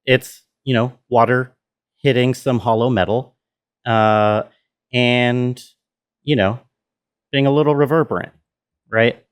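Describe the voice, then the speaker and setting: A man's voice: mid-range voice, steady tone, slow pace, long pauses, clean tone. A man giving an informal walkthrough as a sound designer, thinking aloud as he explains. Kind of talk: casual tutorial walkthrough.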